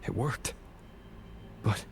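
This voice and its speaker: A man playing a steadfast young wandering hero in a video game, speaking calmly and deliberately. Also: a little hiss or hum. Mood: puzzled, steady. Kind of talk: scripted video game voice acting. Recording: game music behind the voice.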